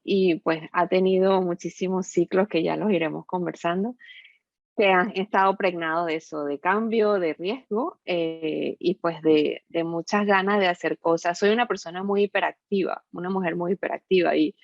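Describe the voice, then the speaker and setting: Female interview guest, Venezuelan accent, middle-aged, lowish pitch, very dry online-call recording, conversational with tag questions. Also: creaky, no room sound, muffled recording. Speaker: podcast guest, entrepreneur and business consultant. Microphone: remote online call.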